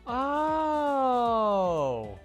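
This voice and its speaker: A man's voice: extremely high pitch, huge pitch swings, very slow, soft background music. A man chatting casually on a game stream as he does a live fandub.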